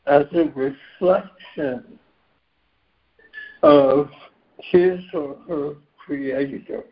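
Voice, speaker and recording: A man losing his voice gives a reflective spiritual share on a group call, measured and clearing his throat now and then. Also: a little high. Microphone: phone on a conference call. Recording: dull lo-fi conference call line.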